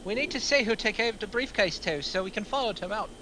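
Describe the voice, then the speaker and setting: A man's voice: sits high, fast, bright, forceful tone, a little hiss or hum, poor audio. A man playing an anxious, foul-mouthed man on a stakeout in a script reading, with an urgent, exasperated manner. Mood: tense, reluctant. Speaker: actor at a table reading.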